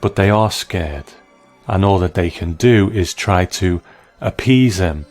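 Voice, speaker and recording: A man with a very low voice narrates a scripted critical essay. His delivery is measured and analytical, and music plays faintly behind him. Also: a little mellow. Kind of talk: scripted video essay commentary.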